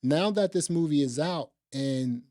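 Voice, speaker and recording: A man's voice: a little high, a little slow, warm tone, no room sound. Casual man chatting, dry close recording. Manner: slangy, often asking "right?" for agreement. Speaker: podcast co-host reviewing films. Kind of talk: casual film review chat.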